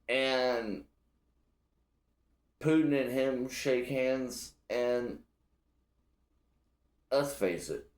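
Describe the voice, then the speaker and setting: A young man from Illinois hosts a casual solo podcast in a blunt, profane, rambling way. His voice sits very low and he talks slowly. The room around him is echoey. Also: darkish tone. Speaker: podcast host.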